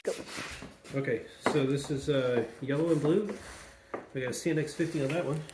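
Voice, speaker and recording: A man's voice: on the high side, moderate pace. A man casually narrating a hands-on repair, thinking aloud in an informal way as he works. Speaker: DIY repair video maker. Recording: clearly noisy home video recording.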